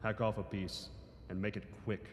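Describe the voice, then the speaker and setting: Man acting a low-voiced, cautious mine boss. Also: level tone, moderate pace, lightly acted. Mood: wary, firm. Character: gruff, cautious male boss of desert miners. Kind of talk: classic radio adventure serial acting. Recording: echoey cavern reverb, faint noise.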